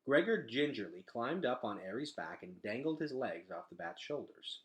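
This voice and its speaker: A man reading a children's novel aloud as an expressive storyteller. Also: medium pitch, moderate pace.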